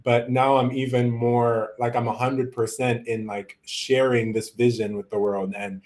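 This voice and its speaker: A man from Malawi, a native Chichewa speaker, giving earnest, reflective interview answers in a halting, conversational way. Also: medium pitch, little pitch movement, darkish tone. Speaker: interview guest, musician and visual artist. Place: his art studio, on a video call.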